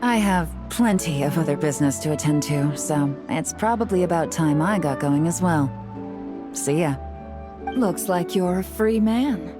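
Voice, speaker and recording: A woman's voice: low voice, expressive, measured pace, over music. A woman playing a composed, shrewd guild leader in a video game, with a cool, casually assured delivery. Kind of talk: dramatic video game voice acting.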